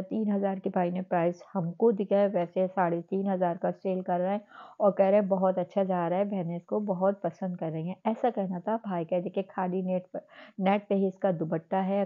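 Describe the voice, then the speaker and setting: A woman with a Karachi Urdu accent chatting casually to her viewers while showing dresses in a clothing shop. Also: mid-range voice. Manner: chatty, addresses viewers as sisters. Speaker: shopping vlogger. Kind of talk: casual shopping walkthrough. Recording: phone-like narrow sound.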